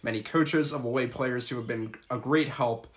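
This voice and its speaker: A man's voice: medium pitch, moderate pace. A young man, a college student and sports announcer, giving earnest, methodical advice in a scripted vlog. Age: young adult. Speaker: public address announcer.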